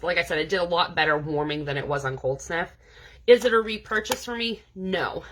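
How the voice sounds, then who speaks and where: Bright, stuffy-nosed chatty woman. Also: medium pitch, slight background noise. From New England. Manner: chatty, many "um" and "like" fillers. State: stuffed up from allergies. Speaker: scent consultant. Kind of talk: casual product review.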